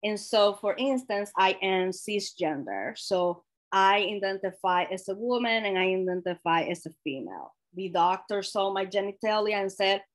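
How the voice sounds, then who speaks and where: A young woman speaks openly and personally as a workshop presenter. Her voice sits at an ordinary middle pitch, and she talks in a conversational way, restarting phrases and correcting herself as she goes. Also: normal speed, few pauses. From Dominican Republic. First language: Spanish. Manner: frank and personal, with self-corrections and light laughs. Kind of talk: candid conversational workshop talk.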